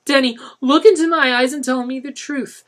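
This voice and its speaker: A woman voicing a frantic young woman who screeches and pleads in a high voice. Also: fairly even intonation, normal speed, lightly acted. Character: frantic young woman, terrified for her friend.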